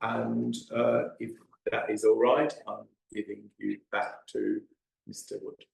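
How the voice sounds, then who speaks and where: Middle-aged male science teacher, gravelly, hesitant delivery, very echoey classroom. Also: lowish voice, flat delivery, takes it slowly, slight background noise. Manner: hesitant, many ums and uhs. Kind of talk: teacher explaining an experiment. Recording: very echoey room.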